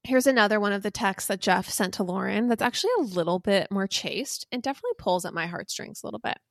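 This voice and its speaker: A woman's voice: medium pitch, lively intonation, quick pace, gravelly, dry recording, studio quality. A woman co-hosting a podcast, telling a recap in a conversational, teasing and playfully dramatic way. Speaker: podcast co-host.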